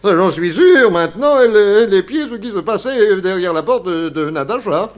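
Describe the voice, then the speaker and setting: A man's voice: high-pitched, expressive, moderate pace. A man playing a nervous, gossipy, eager-to-please witness in a 1960s French radio mystery, stammering and repeating his words. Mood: flustered, eager.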